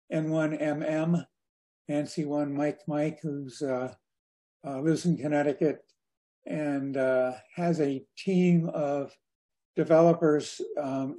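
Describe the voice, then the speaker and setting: An older man presents slides informally on a video call. His voice sits a little high for a man and has a very mellow, relaxed tone. He speaks conversationally, with a halting delivery. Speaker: guest speaker at a radio club.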